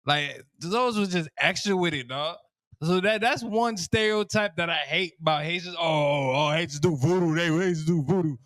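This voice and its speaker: Young man, Miami accent, slangy comic storyteller, fairly high voice, dry close sound. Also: moderate pace, fairly bright tone, no echo. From Miami, Florida. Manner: loose, repetitive, slangy, laughs at himself. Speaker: comedian. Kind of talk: casual stand-up style storytelling.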